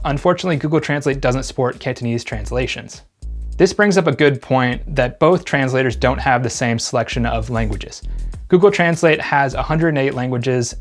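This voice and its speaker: Man, tech reviewer, mid-pitched voice, calm scripted narration, soft music underneath. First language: English. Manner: calm, explanatory, even-handed. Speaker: gadget reviewer host.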